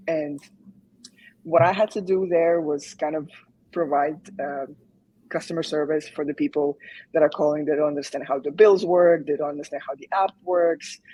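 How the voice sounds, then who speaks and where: Husky-voiced woman from Croatia. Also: low voice, dark tone, rough recording. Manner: hesitant, many fillers and restarts, laughs often. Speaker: guest, UX design graduate. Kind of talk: casual interview chat.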